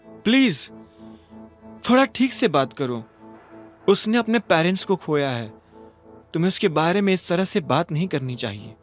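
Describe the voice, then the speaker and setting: Man voicing a firm, weary young woman, raised pitch. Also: big pitch swings, moderate pace, long pauses, faint music behind. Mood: reproachful. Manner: curt, restrained, firm. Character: weary, firm young married woman, coolly refusing advances. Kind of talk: Hindi audio drama character acting.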